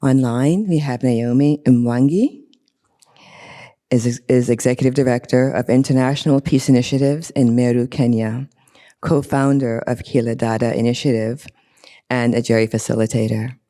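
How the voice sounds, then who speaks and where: A woman's voice: very deep voice, steady tone, moderate pace, dark tone. A woman moderating a panel, introducing speakers graciously with light humor.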